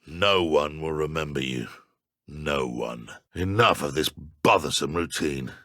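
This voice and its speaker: An actor voicing a menacing, taunting dark wizard poacher in a video game, with a British accent and a gravelly, theatrical, sneering delivery. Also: male voice, extremely low pitch, measured pace, no room sound, clean studio sound. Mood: sneering menace. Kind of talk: video game combat voice lines.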